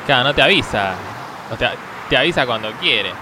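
Man playing deadpan Argentine ambulance crewman, noisy background. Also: mid-range voice, fairly quick, natural voice. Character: laid-back, absurd blind male ambulance crewman. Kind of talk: improvised comic radio sketch.

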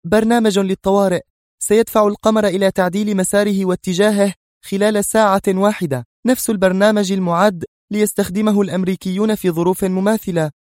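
A man voicing a stern, short-tempered female commander in an audiobook, speaking in a sharp, strained, commanding way. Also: high voice, level tone, quick pace, dry recording, studio quality, voice pushed up, lightly acted. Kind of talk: audiobook character voice.

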